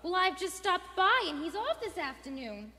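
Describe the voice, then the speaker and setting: A woman plays a genteel, brisk wife in a live radio drama. Her voice is high and theatrical, carrying through a reverberant hall. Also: sing-song, sharp tone, exaggerated voice. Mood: breezy. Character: genteel, loyal English wife, brisk and indignant. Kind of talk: stage radio play acting.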